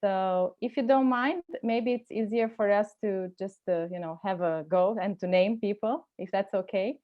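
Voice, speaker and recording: A woman in her forties moderating an online meeting from a bright room at home, speaking warmly with lots of hesitations into an earphone mic. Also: mid-range voice, normal speed, lo-fi sound. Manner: warm, hesitant, many fillers. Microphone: earphone mic on video call.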